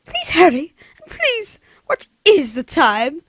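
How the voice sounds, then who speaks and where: A woman's voice: wildly swooping pitch, slow pace, fairly bright tone, dry recording, exaggerated voice. A woman playing a nervous, demanding, self-pitying invalid wife in a melodramatic 1940s-style radio play. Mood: fretful, urgent. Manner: imperious, melodramatic, shrill, fretful.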